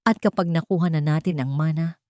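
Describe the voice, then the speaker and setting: Female narrator as smug male schemer in audio drama, boastful, lowish pitch, dry sound. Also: brisk, a little mellow, no room sound, close to an everyday voice. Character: arrogant, scheming younger male relative, a traitor.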